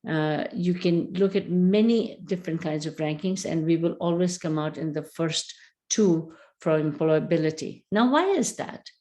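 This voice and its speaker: A middle-aged woman presenting a training webinar for university recruitment agents, speaking deliberately with frequent fillers. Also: sits low, mellow.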